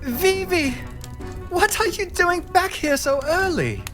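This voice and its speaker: An effusive, elegant madame of a bordello, played by a man in a tabletop game. The voice sits high, coy and theatrically gracious. Soft music plays behind. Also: very animated, slow pace, full character voice. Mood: surprised delight.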